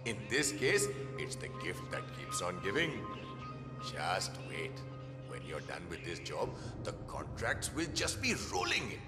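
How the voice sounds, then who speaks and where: A vain, condescending male celebrity with an Indian accent for a game cutscene. His pitch swoops theatrically as he admires himself. The voice rings in a long reverb, with clear music behind. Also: wildly swooping pitch, a little slow, exaggerated voice. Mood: smug, persuasive. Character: vain, pompous, self-admiring Bollywood-style celebrity man. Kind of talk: video game cutscene acting.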